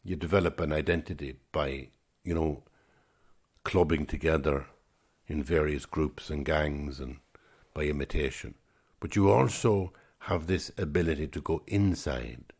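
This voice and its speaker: Very deep, husky man musing. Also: a little slow, a little mellow. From Ireland. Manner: musing, thoughtful, repeats words as he gathers thoughts. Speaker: podcast host. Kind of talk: reflective solo podcast talk.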